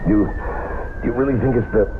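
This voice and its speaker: A man's voice: medium pitch, normal speed, narrow, tinny audio, natural voice. An actor playing a trusting husband who grows anxious as he feels poisoned, plain-spoken and self-mocking, in an old radio drama. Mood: worried. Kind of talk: 1970s radio drama acting. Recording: hissy old radio broadcast.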